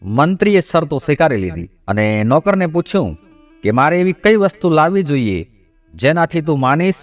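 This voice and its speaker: A man's voice: fairly high pitch, big pitch swings, moderate pace, soft background music. A man voicing a serene male god who patiently instructs his consort in a formal, reassuring way, in a devotional story. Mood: patient, instructing.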